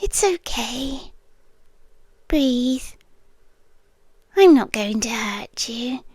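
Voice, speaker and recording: A British woman playing an obsessive, intense stalker girl in an intimate ASMR roleplay, speaking in a hushed, deliberate voice that often slips into whispers. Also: high-pitched, dry recording, studio quality, heavily acted. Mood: soothing, intense. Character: obsessive yandere stalker girl, intense, soft-spoken, longing, menacing. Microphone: close microphone.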